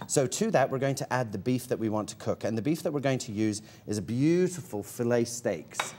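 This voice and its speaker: A man's voice: medium pitch, animated, a little fast, noisy background. Chatty man cooking in a studio kitchen. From Malaysia. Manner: chatty, lingering on food words with many ums. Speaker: cooking guest. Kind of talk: casual cooking demonstration chat.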